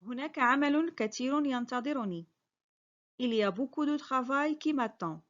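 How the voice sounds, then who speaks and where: High-voiced teacher woman drilling phrases. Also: fairly quick, fairly bright tone, highs cut off. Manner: careful, clearly spoken model sentences, each repeated. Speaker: French teacher. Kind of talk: slow repeated phrase drills with quick translations.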